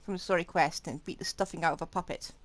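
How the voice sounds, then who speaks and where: A woman's voice: on the low side, fairly even intonation, quick pace. A woman streaming a game, giving casual live commentary and often thinking aloud in questions to herself. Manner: talks to self, asks own questions. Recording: game music behind the voice. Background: game music.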